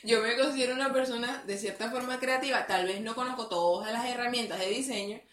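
A young woman with a Venezuelan accent chatting casually as co-host, chatty and quick to agree. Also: a little high, fairly bright tone, reverberant. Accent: Venezuelan Spanish. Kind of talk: casual chat.

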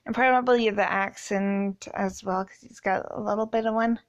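A young woman from Canada talks loosely through a solo podcast intro, filling pauses with ums and likes. Her voice sits at an ordinary middle pitch for a woman. She keeps it casual and unscripted. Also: steady tone. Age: 20s. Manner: rambling, many ums and likes. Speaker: podcast host.

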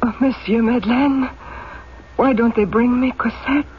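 A woman's voice: on the high side, fairly even intonation, a little slow, close to an everyday voice. A woman playing a frail, anxious young mother, sick and pleading, in an old-time radio drama. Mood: anxious longing. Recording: muffled, faintly noisy old radio recording.

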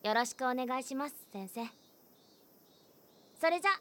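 A woman's voice: high-pitched, lively intonation, normal speed. An actress voicing a frank, cheerful teenage schoolgirl who talks candidly and teases a little. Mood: candid, teasing. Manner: candid, gently teasing. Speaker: student. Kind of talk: voice drama acting.

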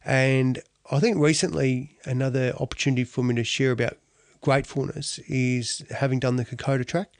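A middle-aged Australian man speaks as a podcast guest, his voice close and dry with no room sound at all. He talks earnestly, stumbling and restarting often and dropping in the odd mild swear word. His pitch sits in the ordinary middle range. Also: vocal fry, darkish tone, no echo. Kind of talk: candid interview storytelling.

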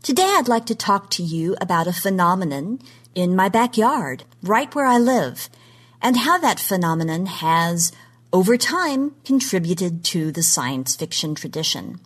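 An American woman from Oklahoma presenting a scripted podcast talk in an articulate storytelling style with measured emphasis. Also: on the low side, sing-song, slightly rough. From Oklahoma, United States.

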